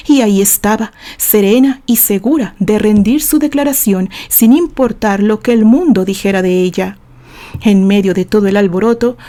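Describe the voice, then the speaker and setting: A Mexican woman reads a romantic drama aloud as a storyteller. Her voice is dark and mellow, at an average pitch for a woman. The delivery is theatrical and expressive. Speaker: author narrating own story.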